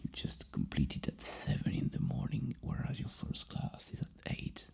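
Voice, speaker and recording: A person doing a soft-spoken ASMR roleplay as a psychologist, asking questions in a halting, rephrasing way with a breathy, husky whisper close to the microphone. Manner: hesitant, repeats and rephrases questions.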